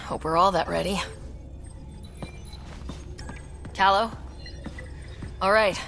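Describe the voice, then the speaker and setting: A woman's voice: medium pitch, fairly even intonation, normal speed, vocal fry, fairly bright tone. An actress playing a young, determined woman leading a space expedition in a video game, casual and encouraging. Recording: music behind the voice.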